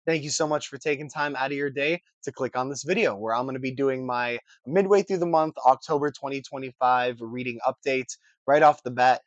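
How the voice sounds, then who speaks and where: A young man talks about his reading, his voice hoarse and a bit deeper from a recent cold. He rambles in an upbeat, chatty way with plenty of fillers and restarts. The sound is close and dry, with no room echo. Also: brisk, few pauses, dull audio. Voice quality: scratchy, deeper than usual. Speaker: book vlogger. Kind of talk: casual chat to camera.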